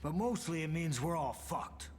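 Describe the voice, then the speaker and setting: An actor playing a gruff, weary older American survivalist in a video game, talking bluntly with swearing and a folksy turn of phrase. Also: male voice, a little high, lively intonation, normal speed, a little hiss or hum, lightly acted. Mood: grim, bitter. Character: gruff, weary older male survivalist, cynical but defiant. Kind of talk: video game cutscene acting. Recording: dramatic music behind the voice.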